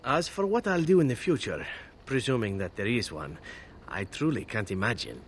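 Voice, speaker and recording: An actor playing a flirty, roguish elf assassin in a video game, talking in a suave, wry, theatrical way. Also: male voice, mid-range voice, animated, normal speed, a little hiss or hum, close to an everyday voice. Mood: wry, nonchalant. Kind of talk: video game character acting.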